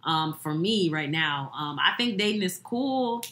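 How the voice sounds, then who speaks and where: A woman's voice: low voice, lively intonation, measured pace, fairly bright tone. A woman in her twenties talking casually and reflectively about herself as a podcast guest. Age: 20s. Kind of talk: casual, reflective personal talk. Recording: roomy, echoing indoor sound.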